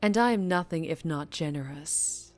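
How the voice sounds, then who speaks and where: A woman playing a dangerously charming female mafia boss in an ASMR roleplay, teasing and menacing, with deliberate purring delivery that drops toward a whisper. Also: low voice, expressive, soft background music. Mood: taunting, teasing. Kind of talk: ASMR roleplay audio drama, addressing the listener.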